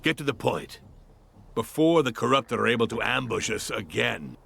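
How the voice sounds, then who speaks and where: An actor playing a brusque, gruff hunter in a fantasy video game, blunt and wry. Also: male voice, mid-range voice, animated, moderate pace, sharp tone, slight background noise, heavily acted. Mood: impatient, wry. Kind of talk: video game cutscene acting.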